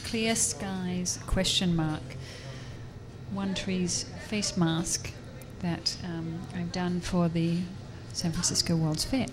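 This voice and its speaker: A woman's voice: fairly low pitch, fairly even intonation, slow. Chatty woman, crowd behind. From Australia. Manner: chatty, laughs often, many fillers and restarts. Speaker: university professor and artist guest. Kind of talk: casual radio interview. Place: busy public fair.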